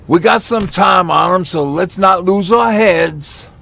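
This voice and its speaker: A man's voice: sits high, a little slow, gravelly, a bit bright, exaggerated voice. A man voicing a practical, level-headed leader of survivors in a video game. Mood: composed. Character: steady, practical male leader of survivor band. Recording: vehicle and outdoor noise behind.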